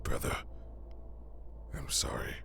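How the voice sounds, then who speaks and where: A man's voice: slow, pushed and bright, a little hiss or hum. An actor playing a conflicted ruler among gods in an audio drama, earnest and pleading with his brother. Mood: pleading, regretful. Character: conflicted ruling god, pleading, anguished brother.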